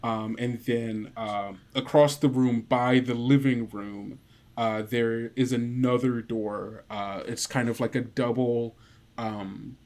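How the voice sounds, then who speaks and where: A man narrating a tabletop game as game master, describing things haltingly as he thinks aloud. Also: medium pitch, steady tone, a little mellow.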